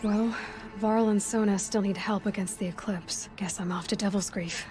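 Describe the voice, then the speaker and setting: A woman's voice: medium pitch, steady tone, normal speed, darkish tone, faint music behind, lightly acted. An actress playing a determined young woman adventurer in a video game, dry and blunt in her delivery. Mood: musing. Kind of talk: video game voice acting, conversational dialogue.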